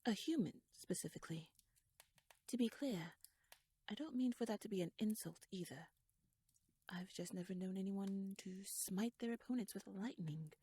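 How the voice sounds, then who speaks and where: A woman playing a shrewd, teasing royal noblewoman in an ASMR audio roleplay, speaking softly in a wry, courtly, measured way that slips toward a whisper. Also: medium pitch, expressive, breathy edge. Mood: cautious, curious.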